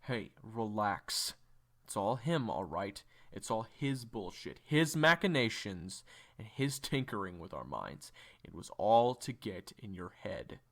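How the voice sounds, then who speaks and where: A man's voice: lowish voice, big pitch swings, unhurried, heavily acted. A man playing a weary, forgiving young man in an audio drama, speaking in terse, casual, reassuring phrases. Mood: reassuring, irritated. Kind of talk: audio roleplay drama acting.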